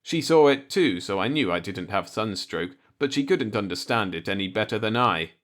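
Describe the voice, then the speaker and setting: A man's voice: lowish voice, animated, normal speed, fairly bright tone. A man reading a light-hearted short story aloud as an audiobook narrator, in a scripted storytelling style.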